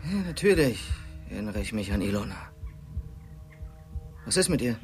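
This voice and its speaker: A man's voice: lowish voice, sing-song, normal speed, faint music behind. An actor playing a cornered, evasive man who stonewalls and dismisses an old friend in a German radio drama. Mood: wary.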